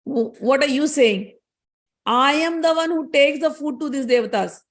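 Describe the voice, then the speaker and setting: An angry male fire god who scorns his rivals in sharp, heated outbursts, as a woman storyteller voices him. His voice sits high. It sounds like a mythological story told aloud. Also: moderate pace. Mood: indignant.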